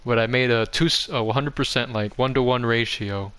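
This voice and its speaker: A man's voice: mid-range voice, moderate pace, fairly bright tone, clear, resonant tone. A young man giving a casual, offhand game tour commentary, rambling along in a self-deprecating way. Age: young adult. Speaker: gaming video host. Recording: music behind the voice. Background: background music.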